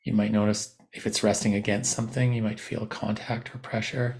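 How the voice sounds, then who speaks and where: Low, creaky-voiced man guiding meditation. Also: flat delivery. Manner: gentle, unhurried, instructive with long pauses. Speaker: meditation teacher. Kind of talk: guided body scan meditation.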